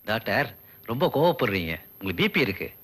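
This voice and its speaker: A husky, fast-talking know-it-all patient. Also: male voice, fairly low pitch, very animated, fairly bright tone. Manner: rattles off lists, matter-of-fact. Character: know-it-all man patient who prescribes his own drugs.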